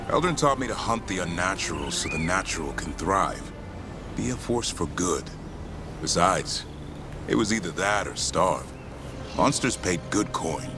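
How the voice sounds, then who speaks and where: An actor playing a proud, adventurous elf monster hunter in a video game, talking in short, clipped sentences. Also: male voice, low-pitched, lively intonation, unhurried, over music. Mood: earnest, resolute. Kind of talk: video game dialogue acting.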